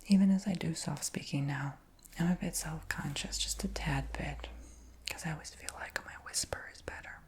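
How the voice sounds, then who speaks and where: Whispering man with a light, high voice. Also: normal speed, vocal fry. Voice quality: whispering throughout. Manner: rambling, chatty, frequent ums and likes. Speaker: ASMR creator. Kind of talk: whispered ASMR storytime chat.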